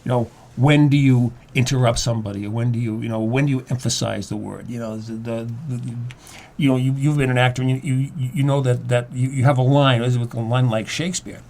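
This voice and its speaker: Older man explaining in halting, repetitive bursts. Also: mid-range voice, fairly even intonation, a little mellow. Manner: halting, repeats words, explanatory. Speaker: guest playwright and acting teacher. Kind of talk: conversational interview.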